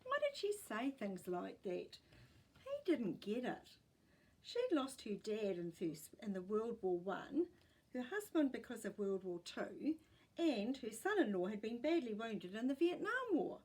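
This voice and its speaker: A woman reading a story aloud as an expressive, scripted narrator. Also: a little high, a little slow, husky, rough recording.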